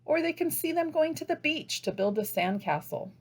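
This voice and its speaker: An American woman narrating a scripted instructional presentation as a teacher trainer, explaining in a measured, didactic way. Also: high voice, big pitch swings.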